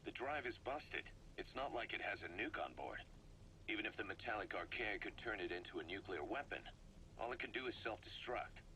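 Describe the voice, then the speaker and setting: An actor playing an analytical, blunt second-in-command in a military video game, explaining things in a measured, matter-of-fact way. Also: male voice, on the low side, animated, bright, forceful tone, low-quality recording. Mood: confrontational, exasperated. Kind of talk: video game voice acting, recorded briefing tapes. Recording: faint noise, cassette tape briefing.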